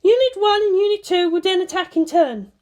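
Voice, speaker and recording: A woman voicing a brisk female operations director in a story, matter-of-fact and commanding. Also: extremely high pitch, expressive, darkish tone, voice pushed up. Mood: businesslike.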